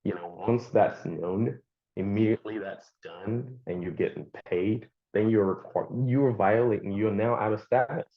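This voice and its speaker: A man with a Nigerian accent, a guest answering interview questions in a halting way, with many fillers and repeated words. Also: fairly low pitch. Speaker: guest speaker. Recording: dull lo-fi sound.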